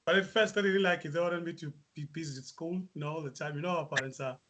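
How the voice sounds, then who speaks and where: A South African musician answering interview questions, hesitant with many fillers. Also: male voice, fairly high pitch, steady tone, fast, background noise. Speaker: musician guest.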